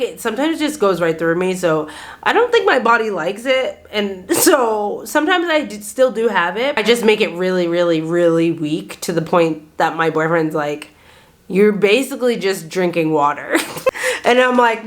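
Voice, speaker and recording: A woman in her thirties with a general American accent and a faint Boston lilt, rambling casually to camera with lots of "like"s. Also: on the low side. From Boston area, United States. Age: 30s. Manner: chatty, rambling, many "like"s, laughs often. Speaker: vlogger.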